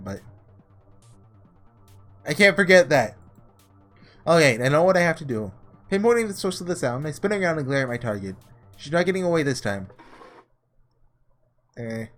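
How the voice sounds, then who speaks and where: A man doing a casual let's play, reading story text aloud and breaking off into excitable asides, with game music behind him. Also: on the high side. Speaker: gaming video creator doing a blind playthrough.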